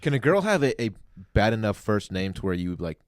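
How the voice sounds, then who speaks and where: A man's voice: fairly low pitch, very animated, quick pace, dry recording, clean studio sound. A man co-hosting a podcast, chatting casually and giving blunt opinions. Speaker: podcast co-host. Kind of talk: casual banter, reality TV recap.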